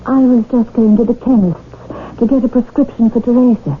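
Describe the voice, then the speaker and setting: A woman's voice: a little high, fairly even intonation, fairly quick, natural voice. An actress playing a vague, guileless young Englishwoman, detached and hesitant, in a period radio drama. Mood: polite. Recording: tinny old radio broadcast, faint noise.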